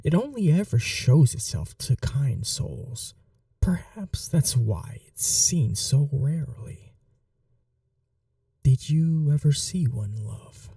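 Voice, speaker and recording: A woman voicing a doting but cruel vampire mistress in an intimate ASMR roleplay, teasing and coaxing in a breathy voice that often drops to a whisper. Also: low-pitched, very animated, slow, warm tone, dry recording, recorded from a distance, heavily acted. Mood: wistful.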